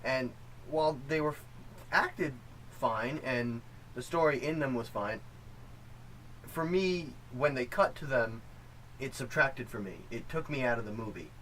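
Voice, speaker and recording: A man's voice: medium pitch, animated, measured pace, fairly bright tone, poor audio. A man co-hosting a film review show in a casual, conversational style. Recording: faint room noise.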